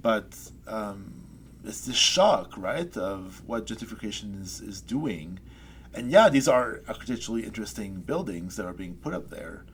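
A man's voice: on the low side, lively intonation, moderate pace. Thoughtful hesitant man, faint room hiss. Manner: thoughtful, hesitant, many restarts and trailing off. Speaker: poet guest. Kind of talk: reflective interview chat. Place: Saskatoon resident.

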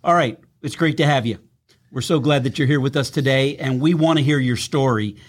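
Easygoing pastor hosting a podcast. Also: male voice, mid-range voice, fairly quick. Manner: friendly, informal, loose phrasing. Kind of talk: relaxed interview chat. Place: church podcast studio.

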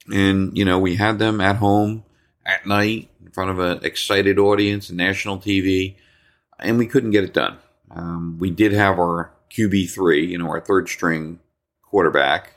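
A man with a New Jersey accent hosting a sports talk podcast, his voice slightly hoarse, rambling with repeated words and ums. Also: low-pitched, fairly bright tone. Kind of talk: casual sports talk monologue.

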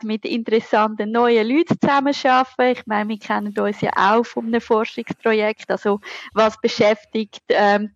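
Female podcast guest, Zurich Swiss German accent, gravelly fry in an interview delivery, dry slightly muffled recording. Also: medium pitch, dry recording. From Zurich, Switzerland. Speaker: podcast guest, researcher and lecturer. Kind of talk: conversational interview answers.

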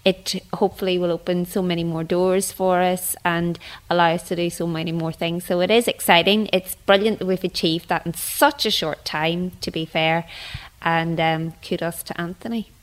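A woman's voice: fairly low pitch, steady tone, moderate pace, low-quality recording. A woman with a Northern Irish accent talking informally as an interview guest about her group. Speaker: drama group member, children's teacher, radio guest. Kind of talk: casual interview chat.

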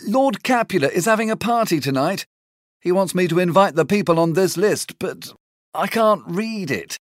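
A woman voices a flustered male servant, anxious and pleading. The voice stays near her own pitch but swings widely. Dry, close sound. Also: animated, normal speed, no room sound, lightly acted. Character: flustered male servant who cannot read.

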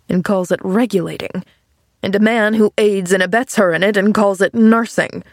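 A woman voicing a grumpy, bitter man in an audiobook, speaking in a gruff, testy and sarcastic way. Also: medium pitch, no echo, clean studio sound. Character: grumpy, sardonic, bedridden man with a bitter temper. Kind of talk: audiobook character dialogue.

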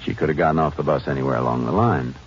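An actor playing a calm, steady Texas Ranger in an old radio drama, speaking in a level, firm and probing way. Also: male voice, very deep voice, very fast. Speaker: lead and narrator of the drama. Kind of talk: 1950s radio drama acting. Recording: muffled old radio broadcast with hiss.

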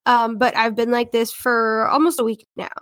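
Young woman, congested head cold, rambling filler-heavy podcast chat, high voice, dry close sound. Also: little pitch movement, normal speed, fairly bright tone, no room sound. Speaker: podcast host. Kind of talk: casual true-crime podcast chat.

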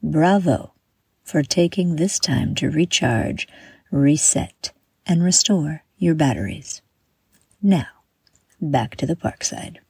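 Slow, low-pitched woman guiding meditation. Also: lively intonation, mellow, no room sound. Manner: soothing, measured, with breathy exhaled phrases. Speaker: meditation guide and host. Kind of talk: guided meditation, scripted narration.

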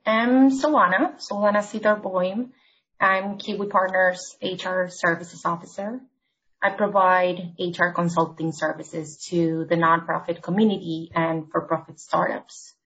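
A woman from Argentina speaks as a podcast guest, her voice a little lower than most. She lays out her points in a measured, orderly way, like a consultant walking a client through a plan. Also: steady tone, a bit bright, slight background noise, rough recording. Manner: measured, explanatory, structured points. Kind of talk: podcast interview.

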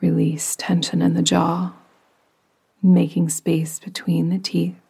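Slow, husky, breathy woman meditation guide. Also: fairly low pitch, flat delivery. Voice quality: soft, breathy, partly whispery. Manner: soothing, gentle, unhurried. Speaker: yoga nidra meditation guide.

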